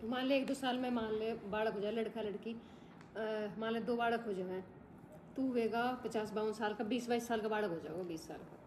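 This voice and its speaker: A woman's voice: a little high, moderate pace, noisy background. A woman, insistently urging her son in homely family chat. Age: 50s. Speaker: vlogger's mother. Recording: echoey room.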